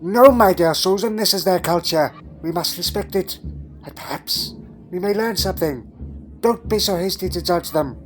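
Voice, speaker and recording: A man's voice: high-pitched, moderate pace, natural voice. Elderly time traveller, played by a man: doddering, fussy, imperious but fond, hums questioningly; audio drama over music. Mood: imperious, instructive. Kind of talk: fan audio drama acting. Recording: music underneath.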